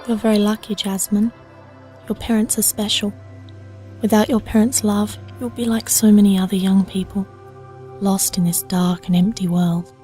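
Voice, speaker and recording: A woman's voice: mid-range voice, flat delivery, moderate pace, dark tone, soft background music, lightly acted. A young woman playing an earnest, idealistic, old-souled girl with formal wording in an audio drama. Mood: earnest, reflective. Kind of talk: scripted audio drama dialogue.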